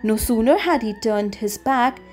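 High-pitched woman reading a children's storybook. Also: animated, a little slow, a little mellow. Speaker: storybook reader. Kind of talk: scripted children's story narration. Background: music.

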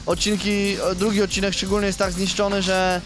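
A rally driver talking cautiously with frequent fillers, outdoors at a service park, into a handheld mic. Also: male voice, high-pitched, flat delivery, fairly quick, over music. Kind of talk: post-stage interview. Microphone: handheld reporter mic.

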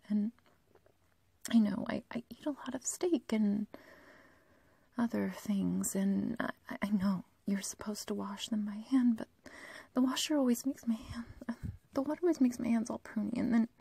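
Breathy whispering obsessive yandere girlfriend played by a woman. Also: medium pitch, darkish tone, no room sound. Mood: sheepish rambling. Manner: rambling, hesitant, cooing, giggly. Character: obsessive, love-crazed young female kidnapper, sweetly menacing, scatterbrained. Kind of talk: whispered ASMR roleplay acting. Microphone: close ASMR microphone, dry.

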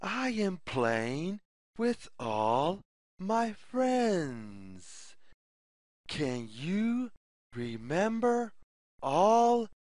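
Very slow, sing-song children's story reader. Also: medium pitch, sing-song, darkish tone, no echo, clean studio sound. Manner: deliberate, word-by-word delivery with sing-song questions. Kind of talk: slow read-aloud of a repetitive children's picture story.

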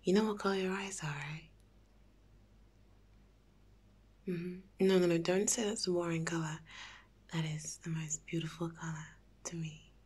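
A woman playing a playful, nervous young date in an intimate ASMR roleplay, soft and halting, often near a whisper. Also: on the low side, fairly even intonation, breathy edge. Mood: shy, tender. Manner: halting, giggly, teasing.

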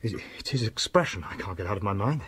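An actor playing an edgy, hearty young gentleman in a radio drama, with hesitant, unsettled speech. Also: on the low side, expressive, quick pace, a little hiss or hum. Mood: uneasy.

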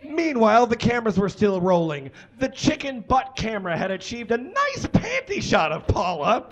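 A man's voice: high voice, wildly swooping pitch, normal speed. A young man reading a story aloud on a live stage in a theatrical, exaggerated comic style. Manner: dramatic, exaggerated delivery. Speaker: live comedy reader. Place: small indoor stage with curtains and brick.

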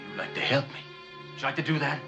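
Man acting a bitter hired gunman, defiant, terse, insistent repetition, mid-pitched male voice, vintage TV drama, prominent music behind. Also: fast talker, creaky, dull audio, natural voice. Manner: terse, insistent, repeating himself. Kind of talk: 1960s television drama acting.

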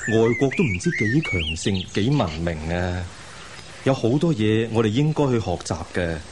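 A man's voice: on the low side, animated, normal speed, close to an everyday voice. A man acting a scholarly middle-aged imperial envoy and placating husband in a Cantonese radio drama, with a Hong Kong accent, sighing and hesitant. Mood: indulgent, coaxing. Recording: hissy radio drama rebroadcast.

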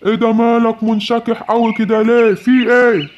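A man's voice: very high voice, little pitch movement, brisk. A man voicing an angry, vengeful lion king in Egyptian Arabic for a children's cartoon, scolding and commanding. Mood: eager. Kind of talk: children's animated animal fable. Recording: muffled, noisy home recording.

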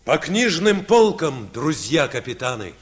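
Storybook sea captain, lively intonation. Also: male voice, on the high side, unhurried. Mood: commanding. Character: commanding male sea captain of a storybook club. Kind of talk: mid-century Soviet radio play acting.

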